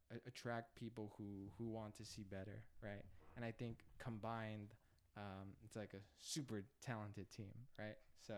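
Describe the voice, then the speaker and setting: Upbeat man with a smooth, lowish voice. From Los Angeles. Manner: upbeat, steady, frequent fillers like "you know". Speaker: political candidate, guest. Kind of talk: casual podcast interview.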